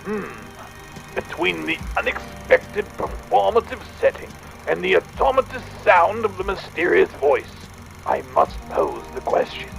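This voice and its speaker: A man playing a fussy, excitable Victorian gentleman inventor, speaking a pompous, wordy audio diary in a theatrical drama. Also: sits high, measured pace, vocal fry, soft background music, full character voice, rough recording. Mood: pondering. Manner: pompous, wordy, hesitant musing.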